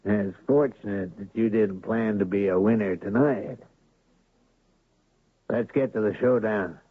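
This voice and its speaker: An actor playing a crooked, domineering town boss in an old radio drama, talking in a blustering, threatening way. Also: male voice, on the low side, unhurried, breathy edge, dry recording. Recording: tinny lo-fi old radio broadcast.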